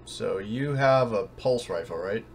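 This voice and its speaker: A man's voice: lowish voice, lively intonation, a little slow, gravelly, slight background noise. A man acting as game master in a casual tabletop game, hesitant and unsure, often thinking aloud.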